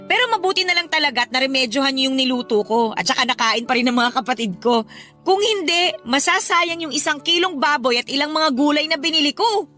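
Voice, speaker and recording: A young breadwinner daughter in a Tagalog radio drama. She is respectful and caring, with a clearly high, bright voice. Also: animated, fairly quick, faint music behind. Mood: cheerful.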